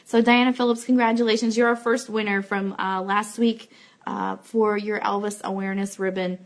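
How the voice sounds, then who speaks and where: A woman's voice: mid-range voice, little pitch movement, moderate pace. A woman hosting a fan live show, chatting informally to viewers as she makes announcements and thanks them. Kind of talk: casual livestream announcements to viewers. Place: office.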